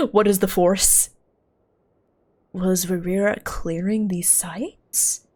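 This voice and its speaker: A young woman chatting casually with her stream viewers while playing a game, laughing often and thinking out loud. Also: medium pitch, sing-song, very slow, slightly rough. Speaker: livestreamer. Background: faint game music.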